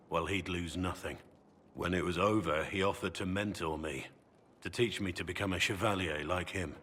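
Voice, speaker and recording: A deep-voiced, brooding warrior of middle age, honourable and gruff yet tender. He speaks with measured weight in video game dialogue. Ambient noise sits clearly behind him. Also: pushed and bright. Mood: regretful. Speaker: video game character voice actor.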